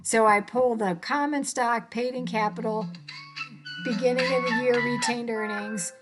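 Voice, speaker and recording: A woman's voice: a little high, steady tone, unhurried, over music. A woman instructor informally explaining worked accounting problems step by step.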